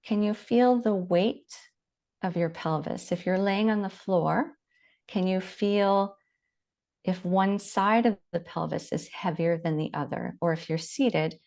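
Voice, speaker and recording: Low-pitched woman guiding meditation, slightly creaky. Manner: gentle, unhurried instruction with long pauses. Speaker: meditation guide. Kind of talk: guided body scan meditation.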